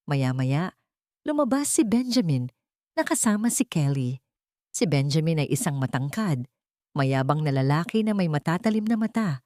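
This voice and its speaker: A woman's voice: low voice, sing-song, moderate pace, a little mellow, no echo, clean studio sound. A woman narrating a scripted dramatic romance story.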